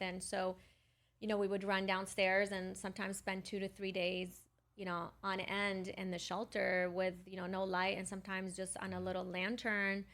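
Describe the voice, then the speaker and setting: A woman's voice: mid-range voice, fairly bright tone. A middle-aged woman from Lebanon telling her personal story conversationally as a podcast guest. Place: podcast studio.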